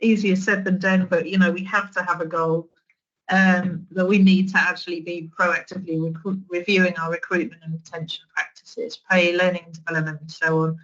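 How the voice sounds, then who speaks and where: Low-voiced woman leading an online training. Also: little pitch movement, fairly quick, a bit bright, dull audio. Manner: conversational, with many restarts and fillers. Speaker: social work trainer.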